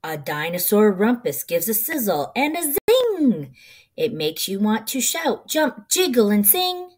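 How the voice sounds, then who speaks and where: A middle-aged woman reading a children's picture book aloud in an expressive, rhythmic, sing-song way. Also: medium pitch, measured pace.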